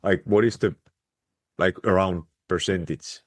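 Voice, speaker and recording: A man's voice: sits low, fairly even intonation, unhurried. A man from Finland with a Finnish accent, hosting a podcast and asking questions hesitantly. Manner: hesitant, many fillers and restarts. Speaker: podcast host.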